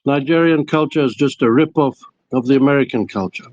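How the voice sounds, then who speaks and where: A man's voice: mid-range voice, brisk, darkish tone, no room sound. A man phones into a live stream, stumbling over his words as he defends himself. His voice sits in an ordinary register, and the call audio comes through muffled and dead-dry. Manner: defensive, stammering. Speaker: caller. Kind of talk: call-in argument.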